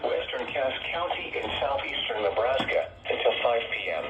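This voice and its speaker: An impersonal, synthetic male announcer reads formal emergency weather alerts as lists. The voice has a middle pitch and comes dully through a weather radio, with loud chatter over it. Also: sing-song, moderate pace, muffled recording. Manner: impersonal, synthetic, list-like reading. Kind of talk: formal scripted emergency bulletin. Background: streamer talking over the alert.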